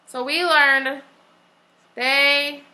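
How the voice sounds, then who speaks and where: A woman with a high, bright voice leads an elementary lesson. She speaks slowly and deliberately, repeating key phrases and questions as an instructor does. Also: steady tone, low-quality recording. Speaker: elementary school teacher. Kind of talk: classroom read-aloud lesson. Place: classroom.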